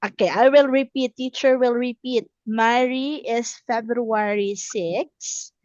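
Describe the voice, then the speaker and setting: Slow, high-voiced woman English teacher. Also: steady tone, a little mellow, dry recording, lo-fi sound. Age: 30s. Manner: instructional, repeats words and checks understanding. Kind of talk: simple, encouraging online language lesson.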